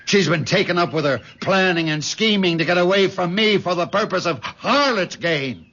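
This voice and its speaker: Stern elderly frontier patriarch, creaky voice, theatrical denunciations, vintage radio drama, muffled sound. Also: male voice, high-pitched, expressive, normal speed, pushed and bright, slight background noise, heavily acted. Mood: self-righteous. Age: older. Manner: archaic, theatrical, denouncing speech. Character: stern bearded old settler father, fiercely controlling and accusing. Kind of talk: old-time radio drama acting. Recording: dull vintage radio recording.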